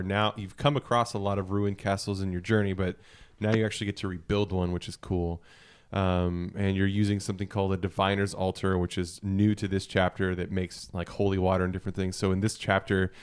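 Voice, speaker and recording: A man with a fairly low voice and a clear, smooth tone. He talks casually as a podcast co-host among fellow gamers. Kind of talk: casual gaming podcast chat.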